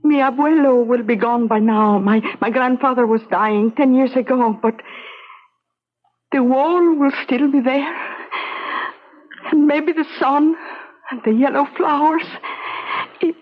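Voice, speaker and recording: High-voiced, wronged Spanish-accented young wife. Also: female voice. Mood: quiet resignation. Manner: halting, broken English with pauses. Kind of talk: radio drama acting. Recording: dull, lo-fi radio drama recording.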